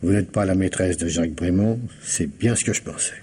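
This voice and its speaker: An actor playing a shrewd, courteous police commissioner in a radio mystery drama, husky and politely insinuating as he questions methodically. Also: low voice, brisk, dark tone, a little hiss or hum, natural voice. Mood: knowing, gentle.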